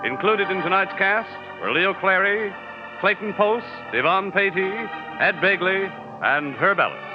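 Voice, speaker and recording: A man's voice: high voice, expressive, measured pace. A man announcing for 1940s network radio in a formal, polished scripted style. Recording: muffled 1940s radio broadcast recording. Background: music.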